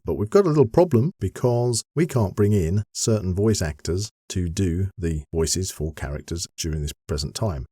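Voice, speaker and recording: A man's voice: low-pitched, warm tone, breathy edge, no room sound, studio quality. A man hosting a podcast, giving listeners an update in an upbeat, encouraging, chatty way. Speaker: podcast host.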